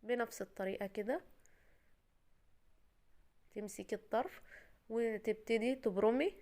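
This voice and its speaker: A woman's voice: fairly high pitch, measured pace, warm tone. A woman from Egypt with an Egyptian Arabic accent, her voice tired from a cold, chattily and reassuringly talking the viewer through a recipe as a home cooking host. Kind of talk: casual step-by-step cooking tutorial.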